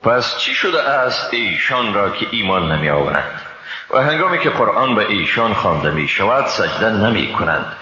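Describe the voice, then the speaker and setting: A man with an Afghan Dari accent reads a scripture translation in a solemn, measured, formal declamatory style. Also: fairly low pitch, very animated, over music. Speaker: Quran translation reader. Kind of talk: solemn scripted religious reading. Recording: echoey hall reverb, slightly dull sound.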